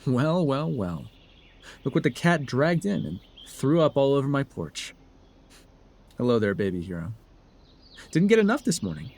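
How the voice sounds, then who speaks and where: A man acting as a sardonic male supervillain with a husky edge to his voice. His delivery swings widely in pitch, theatrical and taunting, in the style of an intimate dramatic audio roleplay. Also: very animated, normal speed, pauses often, a little mellow. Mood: mocking, smug. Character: sardonic male telepathic supervillain, menacing yet curious.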